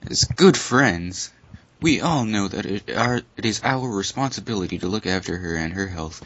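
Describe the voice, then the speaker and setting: A man voicing an earnest, worried young female cartoon pony in a slow, solemn, formal way, for a fan fiction reading. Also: fairly low pitch, expressive, a bit bright. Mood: grave concern. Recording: dull lo-fi recording.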